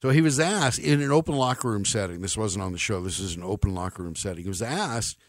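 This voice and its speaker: An American man delivers a sports radio monologue in a slightly low voice. He is wry and opinionated, leaning on his points with emphasis. The sound is close and dry. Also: fast, no room sound.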